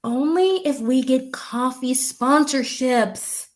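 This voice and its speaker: An actress playing a blunt but friendly doctor in an audio play, with dry, teasing humor. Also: female voice, high-pitched, takes it slowly. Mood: wry.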